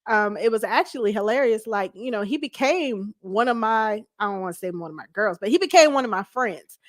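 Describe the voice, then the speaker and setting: A woman's voice: on the high side, lively intonation, fairly quick, no room sound. Woman guest chatting candidly, close dry sound. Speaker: talk show guest. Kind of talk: casual interview conversation about marriage.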